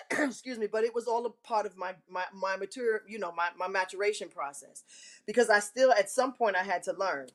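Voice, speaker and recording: A woman hosting a live online show, telling a candid personal story to her viewers in a big, carrying voice, frank and self-mocking, often clearing her throat. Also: mid-range voice, moderate pace.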